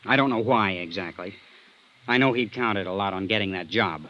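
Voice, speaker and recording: A man's voice: lowish voice, lightly acted. An actor playing a defensive, earnest young man under suspicion in a vintage radio drama. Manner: defensive, earnest, quick to bristle. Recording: dull, band-limited old radio recording.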